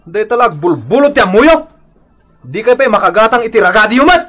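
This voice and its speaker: Irascible jealous radio drama husband, Ilocano accent, high-pitched, wildly swooping, blustering delivery. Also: male voice, sing-song, a little fast, fairly bright tone, exaggerated voice. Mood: scolding. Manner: blustering, scolding.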